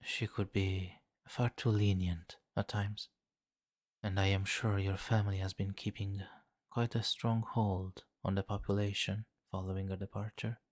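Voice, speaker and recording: Man playing a deep-voiced, suave vampire king. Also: flat delivery, dry recording, studio quality. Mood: brooding, stern. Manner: formal, deliberate, softly imperious. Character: suave, commanding centuries-old male vampire king, courtly and menacing. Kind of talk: ASMR fantasy roleplay.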